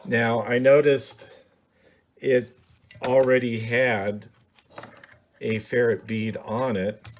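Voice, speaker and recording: A man's voice: medium pitch, fairly even intonation, slow pace, long pauses, a little hiss or hum. A middle-aged man casually explaining a hobby project in a home office, hesitant and plainspoken with frequent ums. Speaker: radio hobby video host. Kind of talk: casual how-to demonstration.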